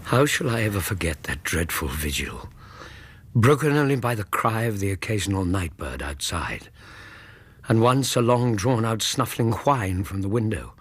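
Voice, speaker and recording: An actor playing an earnest Victorian British gentleman, a loyal companion narrating a radio drama in a measured, suspenseful, literary way with a husky voice. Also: low-pitched, big pitch swings, no echo, exaggerated voice. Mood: tense, suspenseful.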